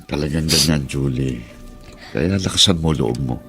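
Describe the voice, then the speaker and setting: A man's voice: extremely low pitch, normal speed, a bit bright, soft background music. An actor with a Filipino accent playing a practical, fatherly middle-aged uncle in a radio drama, matter-of-fact and consoling.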